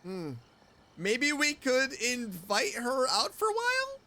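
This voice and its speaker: A woman's voice: sits high, wildly swooping pitch, takes it slowly, pushed and bright. A woman doing casual, chatty let's-play commentary as a game streamer, reacting to what is on screen.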